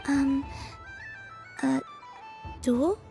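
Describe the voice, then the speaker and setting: A thoughtful young heroine from a video game, talks slowly in a somewhat high voice. She is gentle and hesitant, musing as she goes, with music clearly behind her. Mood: puzzled.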